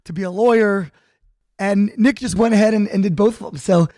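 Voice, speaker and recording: A man's voice: high-pitched, a little fast, dark tone, dry recording, clean studio sound. A male podcast host interviewing a guest, friendly and curious, reacting with "wow" and "incredible". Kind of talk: interview hosting.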